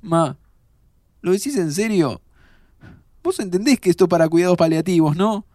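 A young Argentine man in an audio drama. He is sardonic and restless, with very lively intonation and a dry, close sound. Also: high voice, normal speed, no room sound. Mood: exasperated, pleading. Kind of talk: audio drama acting, muttering to himself.

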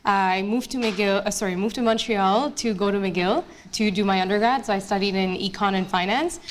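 A woman's voice: mid-range voice, normal speed. Chatty young woman from Bangladesh. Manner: chatty, many fillers and restarts. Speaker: guest speaker, investment analyst. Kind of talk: casual onstage interview.

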